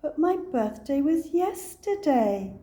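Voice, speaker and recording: An excitable young dog from a picture book, acted out by a woman reader. He is childlike and easily muddled, and speaks in a high, exclamatory voice. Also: takes it slowly, full character voice. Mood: puzzled.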